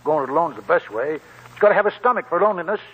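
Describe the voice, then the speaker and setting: An actor playing a talkative, wry old gold prospector in a 1940s radio drama, raspy and folksy, rambling like a storyteller. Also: male voice, on the high side, lively intonation, racing. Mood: knowing, grave. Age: older. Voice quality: chuckling, raspy old man's voice. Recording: muffled 1940s radio broadcast with music.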